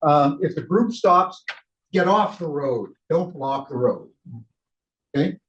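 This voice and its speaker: A man's voice: a little high, lively intonation, takes it slowly. Chatty older man, roomy lo-fi room. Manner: loud, chatty, laughs between points. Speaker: ride leader trainer. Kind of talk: casual training talk. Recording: roomy, dull lo-fi room recording.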